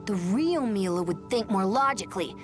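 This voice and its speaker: A woman's voice: medium pitch, animated, normal speed, music in the background, close to an everyday voice. A woman voicing a brash, irritable young wind spirit in a video game, curt and scornful.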